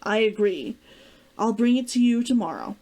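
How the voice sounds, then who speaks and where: A woman's voice: a little high, lively intonation, normal speed, mellow. A woman reading aloud as a proud, stubborn woman fighter in a storybook, defensive and curt. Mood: reluctant. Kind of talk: storybook character dialogue read aloud.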